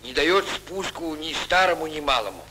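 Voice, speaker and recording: An actor playing an old beggar in a 1940s fairy-tale cartoon, telling things in a folksy, ominous way. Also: male voice, a little high, animated, normal speed, pushed and bright, a little hiss or hum, rough recording. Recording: old 1940s film soundtrack with music.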